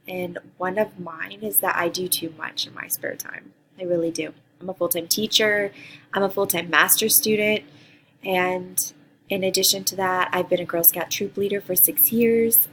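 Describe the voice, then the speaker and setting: A woman's voice: mid-range voice, a bit bright, a little hiss or hum, rough recording. A woman from Costa Rica, a teacher in her thirties, giving an earnest, partly read introduction for a graduate course. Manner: earnest, reads prepared answers with some ums. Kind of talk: reading a course introduction video.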